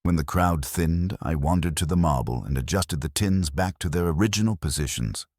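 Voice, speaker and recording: A man narrating a scripted first-person detective story in a dry, wry, measured way. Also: low voice, a little mellow, no echo. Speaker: audiobook narrator.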